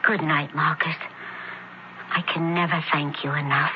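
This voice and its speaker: An actress playing a devoted, anxious young Roman woman in a radio drama, with dramatic pauses. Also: deep voice, little pitch movement. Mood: dazed. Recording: muffled, faintly noisy radio broadcast.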